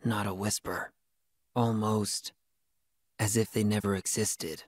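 An actor playing a young, earnest but brash and disillusioned elite soldier in a video game. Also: male voice, lowish voice, flat delivery, takes it slowly, no room sound, clean studio sound. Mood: weary, disillusioned. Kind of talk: video game cutscene acting.

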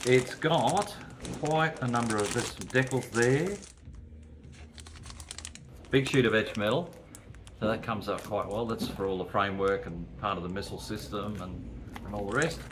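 A man's voice: lowish voice, normal speed, pauses often, background noise. An older man casually showing a model kit from his hobby shop, rambling with fillers and restarts. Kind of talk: casual product unboxing. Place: hobby shop floor, indoors.

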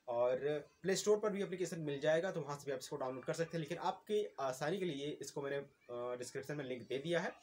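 A man's voice: a little high, darkish tone. A man, presenting like a tech channel host in a chatty, instructional way. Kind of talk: presenter-style app tutorial to viewers. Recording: clearly noisy amateur recording.

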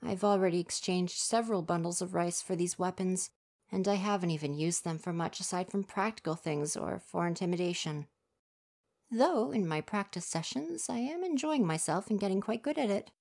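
A woman's voice: lowish voice, moderate pace, darkish tone. A woman voicing a young male would-be ninja in an ASMR roleplay, defensive and flustered but earnest underneath.

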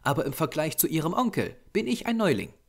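A man acting an earnest high-voiced elderly doctor. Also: big pitch swings, fast talker, gravelly, exaggerated voice. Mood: lecturing. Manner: insistent, lecturing, formal. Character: small, lean, grey-haired Japanese doctor, patient, earnest occultist. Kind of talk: audiobook character voice.

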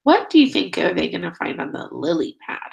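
A woman's voice: on the low side, sing-song, brisk, gravelly, a little mellow, highs cut off. A middle-aged woman reading a picture book aloud as a teacher, expressively, drawing out key words and prompting young listeners with questions. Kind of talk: children's read-aloud.

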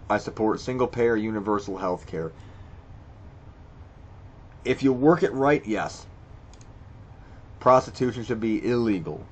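A man with a fairly low voice reads quiz statements aloud and answers them on the spot. He is blunt and profane, often stammering and restarting as he thinks. A faint hiss sits under the recording. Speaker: video creator. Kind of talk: reading quiz questions aloud and reacting. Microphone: screen recording with voice-over.

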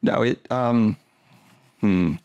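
A man's voice: mid-range voice, drawn-out words, a little mellow, clean tone, lo-fi sound. A man chatting casually as co-reviewer, laid-back and hesitant, often repeating words as he starts. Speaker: vlogger's husband, co-reviewer. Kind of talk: casual chat.

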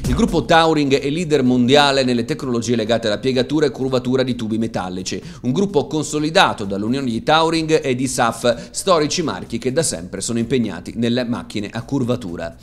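A man's voice: mid-range voice, fairly quick, hardly any pauses, faint music behind. A man reading scripted narration for a televised trade fair report.

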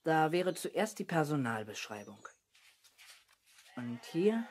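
A young man playing an earnest, eager boy detective with a deliberate, self-important manner in a children's radio play. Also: a little high, very animated. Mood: pondering, self-important. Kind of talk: 1970s German children's radio play acting. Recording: noisy 1970s radio play recording.

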